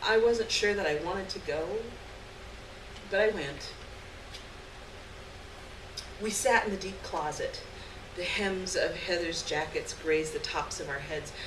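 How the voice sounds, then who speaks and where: A woman giving an expressive dramatic reading of a literary short story live on stage, acting out the dialogue. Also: lowish voice, measured pace. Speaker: story reader. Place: live stage event, recorded from a distance. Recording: roomy distant live recording, clear background noise.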